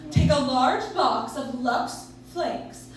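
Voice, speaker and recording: A woman's voice: sits high, takes it slowly, a little hiss or hum. A woman delivering a scripted 1940s-style radio commercial live on stage, recorded from a distance in a very echoey room. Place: live stage in a coffee shop.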